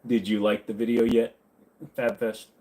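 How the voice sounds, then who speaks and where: A man's voice: medium pitch, flat delivery, moderate pace, dark tone, poor audio. A man hosting a casual livestream, answering viewer questions with crude, rambling jokes.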